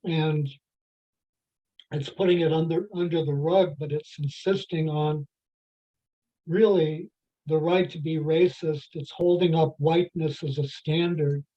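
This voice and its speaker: A participant in an adult faith class discussion, talking in a halting way with many fillers and restarts. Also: medium pitch, fairly even intonation, dark tone. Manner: halting, heavy with "you know" fillers and restarts. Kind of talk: group discussion. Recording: dull lo-fi sound.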